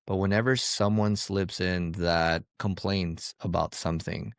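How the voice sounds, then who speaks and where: A man's voice: deep voice, fairly even intonation, measured pace, smooth, no room sound, studio quality. A man hosting a podcast interview, speaking in an emphatic, advising way. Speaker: podcast host.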